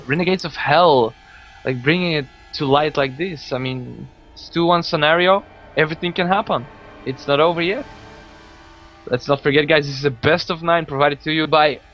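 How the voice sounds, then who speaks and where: A man from Belgium, not a native English speaker, casting a live esports match in a relaxed, chatty way with many fillers. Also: fairly high pitch. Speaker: esports caster. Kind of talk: live game commentary. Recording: dull lo-fi stream audio, faint music.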